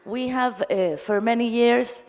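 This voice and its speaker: A woman's voice: fairly high pitch, a little slow, a little mellow. Woman from Argentina in an echoey hall. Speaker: audience member putting a question to a panel. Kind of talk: spontaneous question and comment at a conference. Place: large indoor conference hall. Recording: echoey hall, long reverb.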